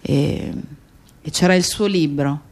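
A woman's voice: low voice, takes it slowly, darkish tone, full character voice. An actress playing a woman who remembers her murdered friend in an intimate, conversational radio theatre monologue with small hesitations. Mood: grieving, subdued.

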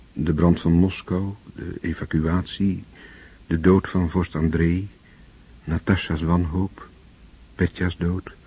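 A man narrating a radio play in a measured, solemn manner. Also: low-pitched, mellow, breathy edge. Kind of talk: scripted literary narration. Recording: dull lo-fi old radio recording.

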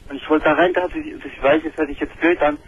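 A man calling into a radio talk show, speaking in an excited, halting way and often repeating himself. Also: on the high side, breathy edge. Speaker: caller. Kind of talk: phone-in call. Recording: narrow telephone line.

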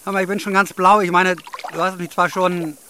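An actor playing an introverted, squeamish city man in an audio drama, speaking hesitantly and fastidiously. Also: high voice, little pitch movement, bright, forceful tone, a little hiss or hum. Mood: awkward, polite. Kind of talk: German audio drama acting.

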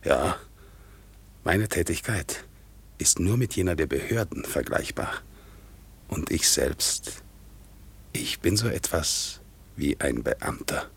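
An actor playing a proud, dutiful one-legged war veteran who works as an organ grinder, speaking in a solemn, deferential way in a radio play. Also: male voice, very deep voice, expressive, takes it slowly, creaky, long pauses, breathy edge, exaggerated voice. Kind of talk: 1960s radio play acting. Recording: faint music behind, older radio play recording.

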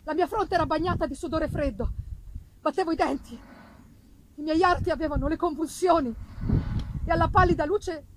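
High-pitched woman reading Gothic fiction theatrically. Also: normal speed, pauses often, noisy background. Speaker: reader performing a literary text. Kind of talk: dramatic audiobook-style reading of a Gothic novel.

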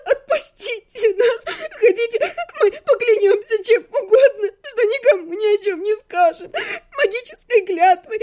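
A woman narrator plays a young female magic student in a fantasy audiobook, with a very high voice. She is feisty, impassioned and dramatic, swinging between pleading and defiance. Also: expressive, normal speed, hardly any pauses, dark tone. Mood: tearful, desperate.

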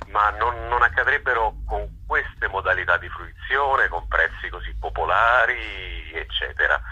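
A man being interviewed as an event organizer, speaking unscripted and hesitantly, often pausing to search for words. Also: sits low, bright, forceful tone, a little hiss or hum, rough recording.

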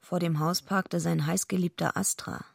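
A tough, defiant street-hardened woman wrestler tells her noir story in a radio drama. Her voice is very creaky and husky, set fairly low, and her manner is blunt, bitter and sardonic. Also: steady tone, moderate pace, no room sound, clean studio sound. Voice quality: gravelly, husky. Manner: gruff, blunt, sardonic, swearing. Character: tough, foul-mouthed female wrestler living rough, bitter, defiant. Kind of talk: radio drama, first-person noir narration and dialogue.

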